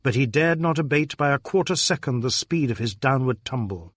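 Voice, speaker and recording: A man's voice: mid-range voice, animated, brisk, no room sound, studio quality. A man reading a detective novel aloud as a dramatic audiobook narrator.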